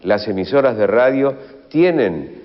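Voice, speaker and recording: An Argentine man explaining as an interviewed expert, halting and often rephrasing himself. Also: medium pitch, expressive. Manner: halting, self-correcting. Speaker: radio history expert interviewee. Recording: dull, long reverberant room sound.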